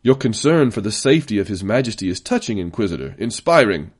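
A man voicing a composed general in an audiobook, measured and mock-innocent with exaggerated politeness. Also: lowish voice, sing-song, slightly rough. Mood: dryly sarcastic.

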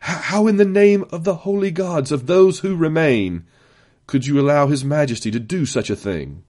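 A man's voice: medium pitch, expressive, a little slow, a little mellow. A man voicing a gaunt, haughty imperial inquisitor in an audiobook, speaking with intense, imperious emphasis. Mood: indignant.

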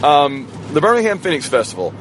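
A hoarse podcaster rambling casually to his dashboard phone on a long rainy drive, road noise humming around him, a man alone at the wheel. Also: fairly high pitch, huge pitch swings, brisk, rough recording. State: slightly hoarse. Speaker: podcast host. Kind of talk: casual solo podcast monologue. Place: inside a moving car. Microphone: smartphone on the dashboard. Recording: road and rain noise inside moving car. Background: road noise and rain.

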